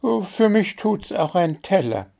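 A man voicing an excitable little bird who squeaks eagerly and schemes for presents. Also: unhurried, vocal fry, full character voice.

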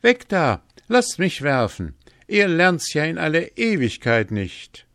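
A man voicing a brash, fearless young village lad in a fairy tale, shouting and blustering in a rustic way. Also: a little high, animated, measured pace, dry recording, studio quality, pitched above the performer's own voice. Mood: cocky, bossy. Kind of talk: fairy-tale storybook character voice.

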